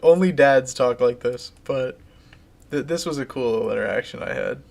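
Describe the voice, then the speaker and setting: A man's voice: medium pitch, normal speed, gravelly, darkish tone. A man streaming live, casually chatting and reading out viewer comments, rambling and restarting his sentences.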